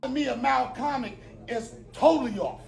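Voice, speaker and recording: A man's voice: very high-pitched, moderate pace, gravelly. A man arguing heatedly on a comedy club stage, insistent and repeating himself, recorded in a noisy club clip. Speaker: stand-up comedian. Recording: echoey comedy club clip with loud crowd and music.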